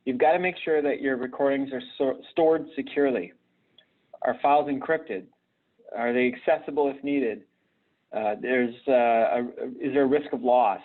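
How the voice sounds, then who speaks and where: A man gives an instructional live webinar presentation, explaining things conversationally and haltingly, often hesitating and repeating words. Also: mid-range voice, steady tone. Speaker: presenter at a virtual conference. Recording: narrow, phone-like online call audio.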